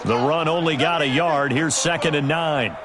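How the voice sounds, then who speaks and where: A man with an American accent playing a polished play-by-play announcer, calling a football video game in crisp, emphatic TV style. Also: on the high side, moderate pace, lightly acted. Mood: emphatic, engaged. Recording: loud stadium crowd noise behind. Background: stadium crowd, game noise.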